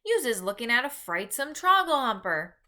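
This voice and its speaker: A woman voicing an earnest, easily exasperated gentle male giant in a children's storybook, speaking emphatically in folksy broken grammar with invented words. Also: fairly high pitch, sing-song, normal speed, pitched above the performer's own voice. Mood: explaining. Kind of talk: read-aloud children's storybook.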